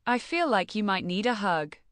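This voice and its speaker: A woman's voice: medium pitch, very animated, moderate pace, no room sound. A woman making casual, slangy, joking remarks on a stream.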